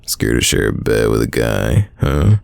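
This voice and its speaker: Super deep crackly guy acting a stubborn teasing young man, tsundere roleplay audio, casual and sighing, really up and down. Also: very animated, no echo, exaggerated voice. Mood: exasperated teasing. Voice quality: very deep, gravelly voice. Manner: casual, teasing, drawn-out sighs. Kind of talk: intimate roleplay audio drama.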